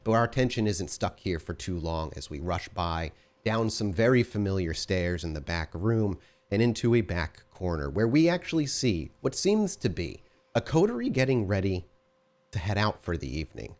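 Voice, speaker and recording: A man's voice: sits low, big pitch swings, moderate pace, darkish tone. A man running a tabletop role-playing game as storyteller, describing scenes and asking the players questions. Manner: descriptive, asks players questions with many fillers.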